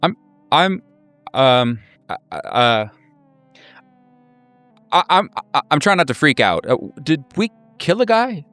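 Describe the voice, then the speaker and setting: A man's voice: fairly high pitch, very animated, takes it slowly, long pauses, fairly bright tone, no room sound, faint music behind, voice pushed up, exaggerated voice. A man voicing a panicked, flustered young male driver who stammers in disbelief. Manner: stammering, incredulous.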